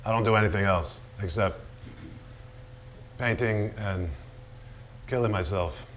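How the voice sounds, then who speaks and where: A man's voice: on the low side, measured pace, a bit bright, slight background noise. A volatile, brooding male painter. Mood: brooding, confessional. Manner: blunt, profane, brooding, given to repetition. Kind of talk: theatrical staged reading.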